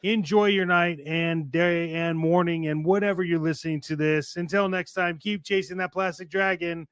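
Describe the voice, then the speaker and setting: A man with a fairly high voice hosts a show in casual, conversational talk. He is playful and given to mock-grand flourishes. The sound is dry, with very little room in it. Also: fairly even intonation, normal speed, a bit bright, clean tone, dry recording. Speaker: podcast host. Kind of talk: casual chat hosting a show.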